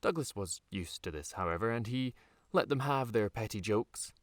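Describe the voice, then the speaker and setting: A man reading a dark fantasy audiobook in a measured, dramatic storytelling manner with deliberate pauses. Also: lowish voice, lively intonation, no echo, clean studio sound. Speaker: author reading his own novel. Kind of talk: scripted audiobook narration.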